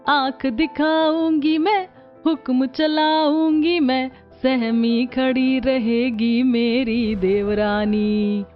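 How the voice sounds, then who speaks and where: A woman's voice: high voice, fairly even intonation, extremely slow. A woman voicing a playfully bossy, friendly elder sister-in-law in a cartoon. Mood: teasing, bossy. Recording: dull lo-fi track with music.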